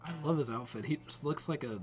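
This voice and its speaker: A man's voice: fairly high pitch, fast. A man giving casual reaction commentary as host of a video, watching game trailers. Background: game trailer music.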